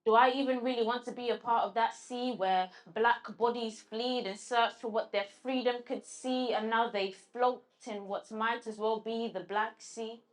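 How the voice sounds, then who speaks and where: Woman poet, halting and reflective. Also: fairly high pitch, fairly even intonation. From London. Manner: halting, searching for words with restarts when answering. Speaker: guest poet. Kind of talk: spoken word recital and interview answers.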